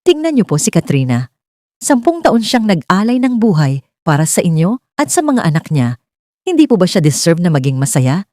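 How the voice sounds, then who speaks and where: A woman's voice: fairly low pitch, big pitch swings, moderate pace, a little mellow, dry recording, clean studio sound. Woman voicing an earnest, determined male suitor. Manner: polite, serious, direct. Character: earnest, respectful male suitor defending his love.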